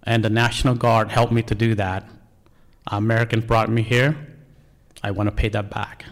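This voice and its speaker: A man's voice: on the low side, little pitch movement, normal speed. Man with a Cambodian accent telling his story. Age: 40s. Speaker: National Guard member telling life story. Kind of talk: prepared personal speech.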